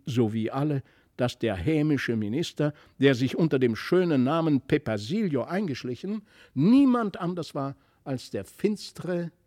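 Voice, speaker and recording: A man with a standard German accent reads a literary fairy tale as an expressive, deliberate storyteller. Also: on the high side, no room sound, clean studio sound. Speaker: audiobook reader.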